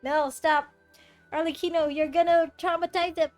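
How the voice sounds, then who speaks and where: A woman's voice: very high-pitched, little pitch movement, normal speed, faint music behind. A woman giving casual, chatty live commentary as she streams a game and reacts to it.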